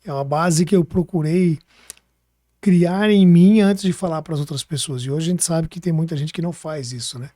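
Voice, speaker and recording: Casting: Brazilian male interviewer, soft warm timbre, chatty and colloquial, recorded dry and close. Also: fairly high pitch, moderate pace, no room sound. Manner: colloquial, seeks agreement with tag questions. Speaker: podcast host. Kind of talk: casual chat, interviewing.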